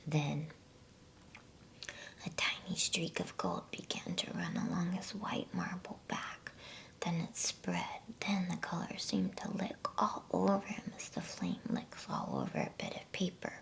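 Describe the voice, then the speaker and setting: Slow whispering woman reading ASMR bedtime stories. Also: fairly low pitch, wildly swooping pitch. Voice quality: breathy unvoiced whisper. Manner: gentle, slow, hushed delivery.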